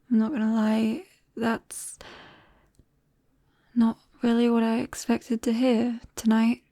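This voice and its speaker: A British woman playing a nervous, tender vampire best friend in an ASMR roleplay, speaking softly and haltingly with drops into whispers. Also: a little high, dry recording, studio quality. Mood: disbelieving, tentative. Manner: hesitant, halting, breaking into whispers. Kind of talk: ASMR roleplay, soft intimate acting. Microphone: close microphone, dry.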